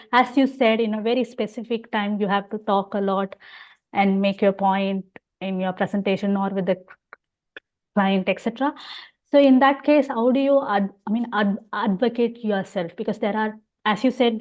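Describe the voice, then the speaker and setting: A woman's voice: a little high, darkish tone, lo-fi sound. A woman hosting an interview, asking supportive questions with hesitant restarts. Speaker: podcast host.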